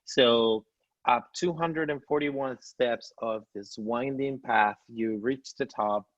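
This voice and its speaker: A Spanish-born man gives an enthusiastic travel presentation over a video call, adding fillers as he goes. His voice sits at an everyday pitch for a man. The call audio is dry and dull, with no room sound. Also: slow, dry recording. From Spain. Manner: enthusiastic, descriptive, with frequent fillers. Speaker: tour company CEO presenting.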